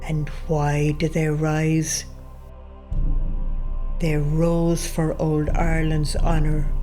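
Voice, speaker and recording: An actress as a solemn Irish elegist, low and level in tone. She recites very slowly, like an incantation. Gentle music plays behind her. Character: solemn woman reciting an Irish rebel elegy. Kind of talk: dramatic poetry recitation. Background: soft new-age music.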